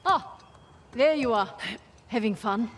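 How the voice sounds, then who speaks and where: A woman's voice: on the high side, animated, takes it slowly, a little hiss or hum. An actress playing a proud, driven female mercenary leader in a video game, with a terse, serious manner and natural delivery. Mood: cool, teasing. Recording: music behind the voice.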